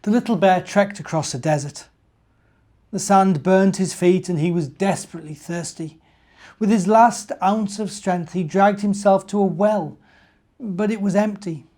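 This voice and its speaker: A man's voice: high-pitched, unhurried, dark tone. A middle-aged man with a British accent, a minister telling stories to young children in a chatty way, with simple explanations and questions. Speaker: church minister and storyteller.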